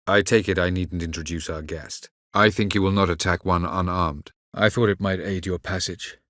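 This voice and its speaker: A male actor playing an aloof, ancient elven mage in a video game, speaking in a measured, condescending way with formal, archaic phrasing. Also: deep voice, steady tone, fairly bright tone, no echo, clean studio sound. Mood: cool, condescending. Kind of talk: video game character acting.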